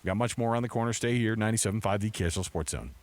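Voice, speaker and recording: A man's voice: on the low side, racing, darkish tone, no echo. A man hosting a sports talk radio show, chatting informally in a casual, bantering way from a studio. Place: radio studio in Salt Lake City. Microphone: close studio microphone.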